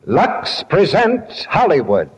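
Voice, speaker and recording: A man announcing in formal, showy 1940s radio style, presenting the show and pitching its sponsor. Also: wildly swooping pitch, takes it slowly, slightly rough. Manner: formal, showy, polished salesman delivery. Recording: dull vintage 1940s radio recording.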